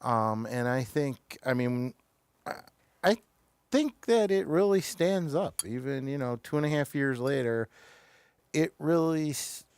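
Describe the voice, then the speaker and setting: A man's voice: medium pitch, animated, a little slow, darkish tone, smooth, no echo. A man with an American accent, hosting a casual talk show in a hesitant, wandering way, often trailing off mid-sentence.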